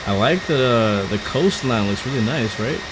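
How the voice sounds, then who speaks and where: Very deep-voiced streamer woman from Houston. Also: animated, moderate pace, noisy background. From Houston, Texas. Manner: rambling and chatty, frequent restarts and stumbles. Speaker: flight sim livestreamer. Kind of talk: casual live-stream chat while flying.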